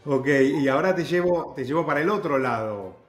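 A man hosting a casual interview, asking relaxed, encouraging questions. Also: fairly high pitch, fairly quick, echoey room, faint music behind. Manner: relaxed, affirming, uses vos. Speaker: host.

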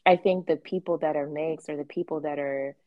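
A woman's voice: low-pitched, a little fast, no room sound, lo-fi sound. A woman host from the West Indies, talking candidly in a casual chat, with faint Caribbean traces in her American accent. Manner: candid, animated storytelling with acted quotes and swearing. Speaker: podcast host.

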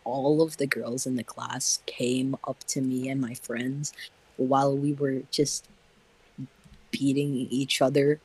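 A man's voice: medium pitch, little pitch movement, slow pace, a little mellow, breathy edge, rough recording. A teenage boy telling a story on a casual podcast, hesitant and a bit awkward.

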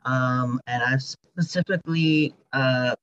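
Male guest, mid-pitched, hesitant casual delivery, muffled lo-fi recording with no room echo. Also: fairly bright tone. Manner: hesitant, halting, many "like"s. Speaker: podcast guest, teacher. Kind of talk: casual, reflective talk. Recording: dull, slightly lo-fi microphone audio.

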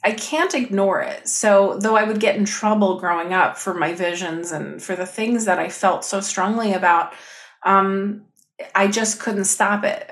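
A woman talking as a podcast guest, telling stories in an animated, expressive way with lots of fillers. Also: medium pitch. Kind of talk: casual conversation.

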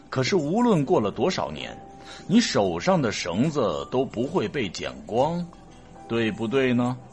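A man playing a genial, avuncular older chief scientist who speaks deliberately in a sci-fi audio drama. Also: mid-range voice.

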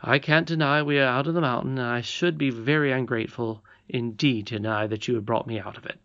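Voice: medium pitch, a little fast, fairly bright tone, dull audio, close to an everyday voice. A reader as a polite, doubtful miner boy.

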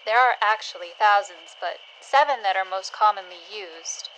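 A woman playing a curious, wistful scavenger in an audio drama, speaking in a gentle, hesitant, questioning way. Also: medium pitch, normal speed, a little hiss or hum, lightly acted, poor audio. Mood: playful, musing.

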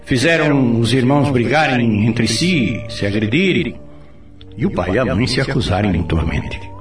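A Brazilian man playing a patient, authoritative spirit mentor in a radio drama, explaining things in a didactic, expressive way. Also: mid-range voice, normal speed, close to an everyday voice. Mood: earnest. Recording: roomy, distant, music behind.

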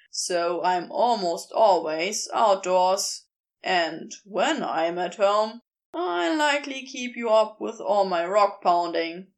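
A woman playing a blunt, sardonic male geologist with a gruff, terse, dry manner in an audio story. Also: mid-range voice.

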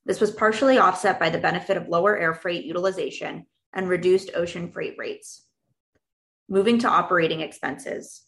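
Lower-voiced woman, slightly creaky, formal. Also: a bit bright. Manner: formal, measured reading of prepared remarks. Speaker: finance executive presenting company results. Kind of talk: scripted earnings call presentation.

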